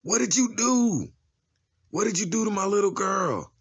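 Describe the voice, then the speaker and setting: A man playing an anguished, furious father with a gravelly, husky voice, raw and pleading in a motion comic drama. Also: high voice, expressive, moderate pace, dry recording, studio quality, full character voice. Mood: accusing. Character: anguished, furious father desperate for his daughter.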